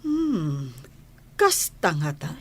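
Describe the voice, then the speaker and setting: A woman's voice: low voice, sing-song, extremely slow, breathy edge, no echo, exaggerated voice. A woman acting a stern, unyielding office boss in a radio comedy drama.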